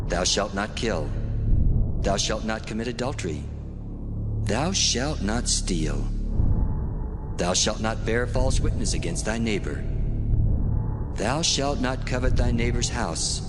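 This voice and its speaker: A deep, solemn divine voice, issuing commands slowly in a dramatized scripture audio drama. The words roll out through a long, cavernous reverb with orchestral music swelling underneath. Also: male voice. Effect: reverberant divine echo.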